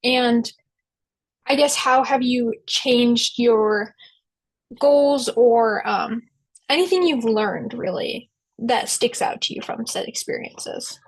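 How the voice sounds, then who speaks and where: A woman's voice: on the high side, takes it slowly, husky, rough recording. A woman moderating a panel, asking a question hesitantly while searching for her words.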